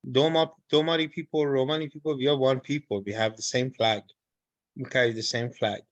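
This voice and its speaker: A man from Iran speaks up during an online seminar, friendly and emphatic, pausing with fillers. His voice has a middle pitch. The sound is dry and close, with no room echo. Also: steady tone, highs cut off. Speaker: seminar participant. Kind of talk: spontaneous comment.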